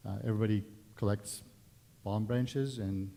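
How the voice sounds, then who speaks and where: Palestinian-accented man, mellow low voice. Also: normal speed. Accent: Palestinian Arabic. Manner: relaxed, conversational, many fillers and restarts. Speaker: guest speaker. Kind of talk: personal testimony at a worship service. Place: church sanctuary. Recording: long church reverb.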